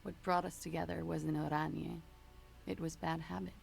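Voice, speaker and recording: A woman's voice: sits low, level tone, normal speed, gravelly, over a noisy crowd, low-quality recording. A woman voicing a weary, guarded female smoker in a video game, speaking tersely. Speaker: game character.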